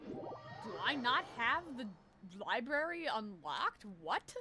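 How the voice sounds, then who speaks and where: A woman's voice: high voice, background noise. A woman hosting a gaming video with casual, sarcastic commentary, swearing and muttering to herself. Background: video game music.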